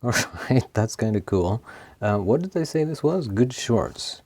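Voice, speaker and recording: A man casually talking through a tape machine repair, laughing often and pausing with lots of ums. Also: medium pitch, expressive, noisy background. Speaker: host of an electronics repair channel. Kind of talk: casual hands-on commentary.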